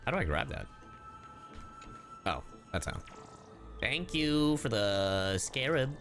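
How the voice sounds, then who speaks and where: A woman with a very low voice gives casual live game commentary. She is playful and exclamatory, with hugely swooping pitch and faint game music behind her. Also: sing-song, takes it slowly. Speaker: VTuber game streamer. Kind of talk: casual live gameplay commentary, reading dialogue aloud.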